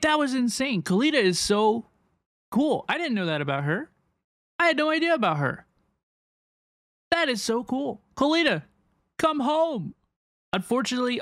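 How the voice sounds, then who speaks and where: A woman giving casual, excited reaction commentary as a video host, exclamatory and slangy, often repeating herself. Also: medium pitch, no room sound, studio quality.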